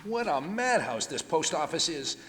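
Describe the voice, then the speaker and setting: Male actor as complaining 1940s radio sidekick, high-pitched, very animated, roomy hall sound. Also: moderate pace. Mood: comic complaint. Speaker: amateur radio play performer. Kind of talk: 1940s radio comedy acting on stage. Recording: reverberant hall, faint noise.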